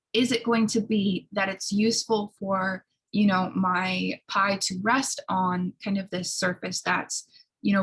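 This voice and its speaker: A woman who sounds a little hoarse, giving an explanatory college writing lecture in an instructional way, often checking in with short tag questions. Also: medium pitch, rough recording. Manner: instructional, frequent checking tags like "right?". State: slightly hoarse.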